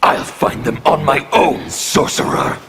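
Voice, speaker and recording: An actor voicing a defiant, insolent rebel fighter in a video game, with a gravelly, theatrical voice. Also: male voice, mid-range voice, lively intonation, measured pace, heavy background noise, lightly acted. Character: defiant, wild male rebel anarchist fighter. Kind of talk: video game character dialogue.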